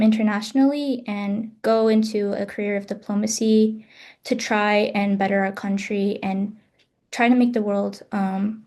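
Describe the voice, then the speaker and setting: A woman's voice: medium pitch, vocal fry, a little mellow. A young woman from the United States answering panel questions on a video call, hesitant, with many fillers and restarts. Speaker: student presenter. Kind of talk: online panel Q&A.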